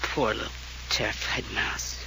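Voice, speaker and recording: A man's voice: unhurried, sharp tone. An actor playing a tipsy, flippant, well-bred man, giggly and mock-polite, in a 1940s radio mystery. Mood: wry, mocking. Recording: noisy old radio transcription.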